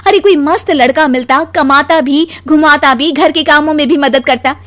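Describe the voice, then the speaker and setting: A woman voicing a demanding, nagging young wife in an animated story, with a pushy, complaining manner. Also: sits high, normal speed, pitched above the performer's own voice.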